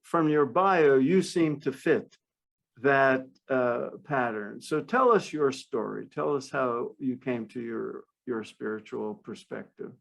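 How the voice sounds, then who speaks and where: A man co-hosting a podcast, welcoming the guest and asking slow, reflective questions. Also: mid-range voice, creaky, fairly bright tone. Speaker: podcast co-host. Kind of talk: interview questions.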